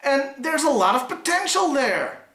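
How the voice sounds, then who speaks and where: A man presents a scripted review to a camera a few feet away. His delivery is wry and opinionated, with very animated pitch, in an echoey living room. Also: moderate pace. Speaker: toy review host.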